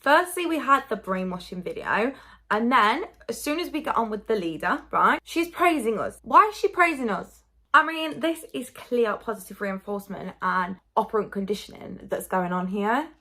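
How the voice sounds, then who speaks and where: A woman's voice: fairly high pitch, lively intonation, normal speed, bright, forceful tone. A woman vlogger with a stuffy, bunged-up nose gives frank, chatty commentary on clips, full of fillers. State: stuffy nose from hay fever. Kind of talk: casual commentary reacting to clips.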